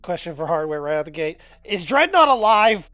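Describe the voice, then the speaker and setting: Lively higher female player. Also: normal speed, no room sound, studio quality, faint music behind.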